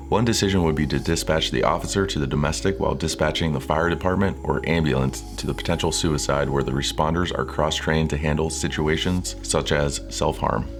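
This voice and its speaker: A man presenting scripted instructional narration in a measured, formal, clearly structured way. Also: extremely low pitch. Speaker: presenter of interview coaching videos.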